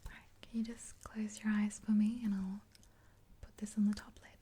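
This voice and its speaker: Young woman, breathy ASMR whisper, mellow, even pitch, gentle rambling chat. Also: moderate pace. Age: 20s. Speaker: ASMR roleplayer as makeup artist.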